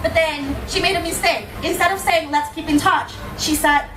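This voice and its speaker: A woman's voice: very high voice, fairly even intonation, normal speed. A woman from South Korea, a native Korean speaker with a Korean accent, giving an informal live talk to an audience with rhetorical tag questions. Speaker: public speaker, language teacher. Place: indoor venue in front of an audience. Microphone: camera at a distance. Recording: echoey room, distant camera mic, noisy.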